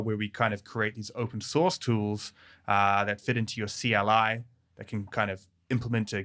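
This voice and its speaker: Bright-voiced New man. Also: on the low side. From New Zealand. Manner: friendly, laughs easily, many fillers and restarts. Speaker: developer advocate guest. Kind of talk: casual podcast interview.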